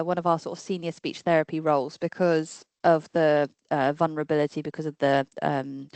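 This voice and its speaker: A woman with a British accent, a speech and language therapist answering questions at a board meeting in a plain, halting way with fillers. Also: sits low, darkish tone, no echo. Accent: British English. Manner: plain-spoken, with frequent fillers and self-corrections.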